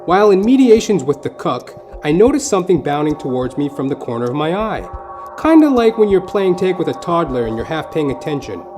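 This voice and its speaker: A man's voice: fairly high pitch, a little mellow. A man delivering scripted comic narration for an audio play, with theatrical, mock-literary phrasing. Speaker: comedian and storyteller. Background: music.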